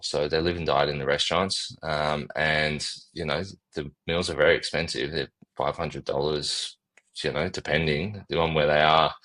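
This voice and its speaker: Laid-back man with a deep voice. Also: pushed and bright. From Australia. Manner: laid-back, chuckles often, casual swearing. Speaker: podcast guest, drinks brand founder. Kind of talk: casual interview chat.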